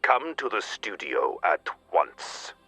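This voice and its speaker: An actor playing a stern, pompous studio boss in an audio drama, speaking formally and with intimidation. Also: male voice, sits low, very animated, slow pace, pushed and bright, breathy edge, soft background music, exaggerated voice. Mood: cold, reprimanding. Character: stern, officious, intimidating male studio boss of propaganda broadcaster.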